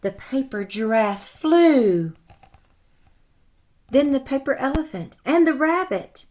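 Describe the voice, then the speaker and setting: A woman teacher reading a storybook aloud to young children in a deliberate, instructive way with animated emphasis. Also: sits high. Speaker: first grade teacher.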